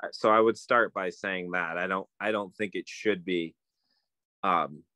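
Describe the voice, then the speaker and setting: A middle-aged man talks on a phone video call from home, his voice fairly low and the sound dry and close. He thinks aloud, meanders, and often stops to restart a sentence. The tone is relaxed and conversational. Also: measured pace, dry recording. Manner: thoughtful, meandering, many fillers and restarts. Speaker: guest, permaculturist. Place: home room with bookshelves, indoors.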